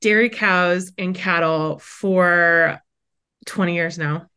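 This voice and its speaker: A woman's voice: on the low side, steady tone, takes it slowly, bright, forceful tone, smooth. A bubbly, talkative woman, chatting as a podcast guest and filling her sentences with "like.". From Wisconsin, USA. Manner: bubbly, chatty, lots of "like" fillers. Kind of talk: casual interview chat.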